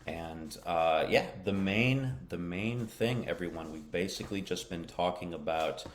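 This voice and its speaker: A deep, low-pitched American man leads a live English lesson, answering viewers' questions as they come in. He talks slowly and encouragingly, repeating his main points so they sink in. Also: a little hiss or hum. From United States. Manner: slow, encouraging, repeats key phrases. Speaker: English teacher.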